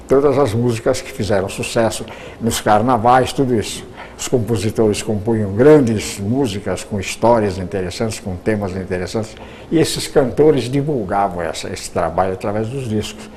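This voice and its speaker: A man's voice: mid-range voice, a little mellow, slight background noise. An older Brazilian man, a radio broadcaster, answering interview questions at length, often repeating words as he searches for them.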